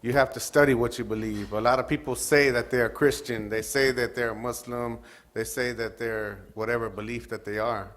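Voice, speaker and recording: Calm, reflective male panelist. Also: mid-range voice, normal speed. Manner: calm, reflective, many "you know"s. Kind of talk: personal testimony on a panel.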